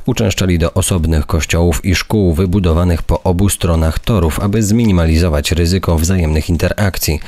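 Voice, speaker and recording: Deep-voiced male documentary narrator. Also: fairly even intonation, brisk, hardly any pauses, darkish tone.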